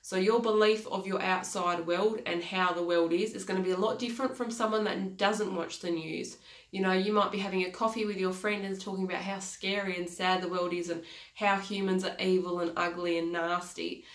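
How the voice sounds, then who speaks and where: A woman's voice: lowish voice, steady tone, fairly quick. Nasal woman coaching, echoey room. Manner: earnest, repeats key phrases, gestures with hands. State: congested, nasal from a cold. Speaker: life coach. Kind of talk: motivational talk to camera. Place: small home office, indoors.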